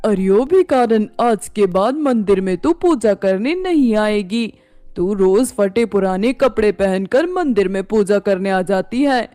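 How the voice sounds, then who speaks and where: A woman's voice: medium pitch, lively intonation, a little slow, dark tone, faint music behind, close to an everyday voice. A woman voicing an angry, threatening male temple priest in a story, scolding and commanding.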